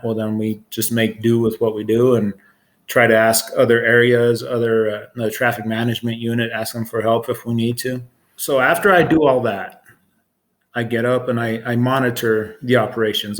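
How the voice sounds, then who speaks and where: American guy in his 40s, regular mid voice, explaining his job plainly, interview style. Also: medium pitch. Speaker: air traffic control supervisor.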